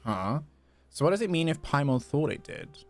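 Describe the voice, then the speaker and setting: Guy reading as a little fairy sidekick in a game, confused and cocky, kinda gravelly, bit of music. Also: a little high, very animated, moderate pace, pitched above the performer's own voice. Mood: puzzled.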